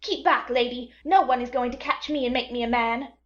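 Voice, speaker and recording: A woman's voice: a little high, fairly quick, a bit bright, highs cut off, pitched above the performer's own voice, close to an everyday voice. Woman doing Peter, a cocky defiant boy. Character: boastful young boy who won't grow up.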